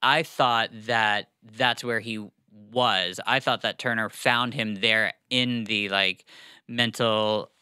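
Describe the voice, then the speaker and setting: A man's voice: fairly low pitch, fairly even intonation, takes it slowly, sharp tone, smooth, no echo. A young man co-hosting a podcast, chatting casually about a book with many fillers and restarts, on a studio mic. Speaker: podcast co-host. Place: small studio, seated. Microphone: studio mic on boom arm.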